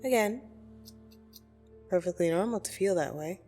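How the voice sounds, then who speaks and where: A woman playing a blunt, teasing yet soothing dream demon in an ASMR roleplay, speaking deliberately and intimately with gentle condescension. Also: mid-range voice, animated, lightly acted. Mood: soothing, concerned. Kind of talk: ASMR roleplay audio drama. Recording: soft music behind the voice. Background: soft meditation music.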